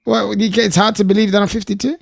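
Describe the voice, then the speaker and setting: An Australian man in his fifties hosting a casual group chat, blunt, sweary and quick to mock himself. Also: sits high, flat delivery, creaky, no room sound, clean studio sound. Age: 50s. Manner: blunt, sweary, self-mocking. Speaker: host.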